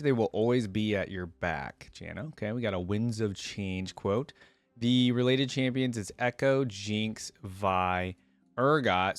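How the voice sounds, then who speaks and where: A man's voice: mid-range voice, no room sound. A man hosting a reaction video, moving between casual, curious commentary and reading lore aloud in a conversational way.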